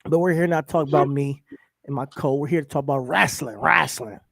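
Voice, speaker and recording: Raspy-voiced man with a cold. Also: fairly high pitch, brisk, fairly bright tone, no echo, clean studio sound. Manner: laid-back, laughs often. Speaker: podcast co-host. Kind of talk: casual wrestling podcast chat.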